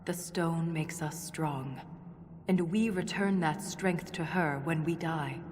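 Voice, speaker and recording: An actress playing an earnest, scholarly dwarf woman in a video game, measured and solemn as she explains. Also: low voice, a little mellow. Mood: reverent. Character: earnest scholarly female dwarf relic-hunter, reverent and intense. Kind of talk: video game dialogue acting. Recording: long cavernous reverb, music underneath. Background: game music.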